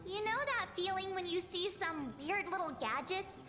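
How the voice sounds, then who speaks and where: A woman's voice: extremely high pitch, animated, normal speed, sharp tone, heavily acted. An actress voicing a bubbly young woman companion in a game, dramatic about small troubles. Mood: confiding. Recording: game audio under music.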